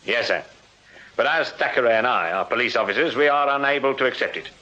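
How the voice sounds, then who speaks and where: An actor playing a shrewd Victorian detective sergeant in a radio drama, English-accented, measured and deferential in a matter-of-fact way. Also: male voice, mid-range voice, lively intonation, bright, forceful tone. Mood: dry, measured. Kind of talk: British radio drama acting. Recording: dull, lo-fi radio recording.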